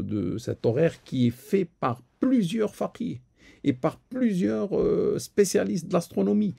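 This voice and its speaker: Guy answering questions live, lots of euhs, gravelly husky voice. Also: medium pitch, mellow. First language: Arabic. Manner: hesitant, many fillers and repeated words. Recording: live stream recording.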